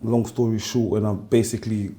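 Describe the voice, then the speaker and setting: A man's voice: lowish voice, little pitch movement, fairly quick, warm tone. A man with a South-East London accent chatting casually as a podcast guest, talking in slangy street style. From Woolwich, London; born in France. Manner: slangy, uses tags like "innit" and "you get me".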